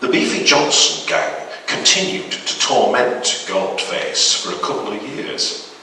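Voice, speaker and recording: A man's voice: mid-range voice, big pitch swings, unhurried, bright, forceful tone, slight background noise. A man giving a dramatic reading of a macabre short story as a storyteller. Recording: very echoey hall, distant microphone.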